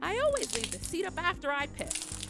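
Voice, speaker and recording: A cheeky, shameless little goblin in a comedy skit. The voice is high and squeaky with plenty of gravel, swinging wildly in pitch over clear background music. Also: female voice, big pitch swings, brisk, full character voice. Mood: gleefully shameless. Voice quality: squeaky, creaky. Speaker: reader of viewer tips. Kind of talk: comedic character reading. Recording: clear music bed behind.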